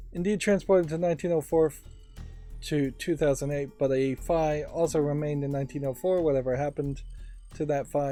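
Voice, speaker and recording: A man doing casual gameplay commentary and reading aloud, halting as he thinks out loud. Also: mid-range voice. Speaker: let's play host. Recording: quiet game music behind. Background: game music.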